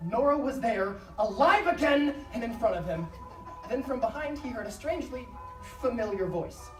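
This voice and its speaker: A woman's voice: on the high side, expressive, moderate pace. A woman narrates a live story from some way off the mic in an echoing space, with music behind. Her delivery is casual and conversational, full of wry asides. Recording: echoey live stage, distant, music behind.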